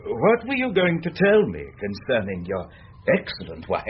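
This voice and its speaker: An actor with an English accent playing a brooding, gentlemanly male professor in a radio drama, abrupt and hesitant. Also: mid-range voice, huge pitch swings, moderate pace. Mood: abrupt, concerned. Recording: muffled lo-fi radio, faint noise.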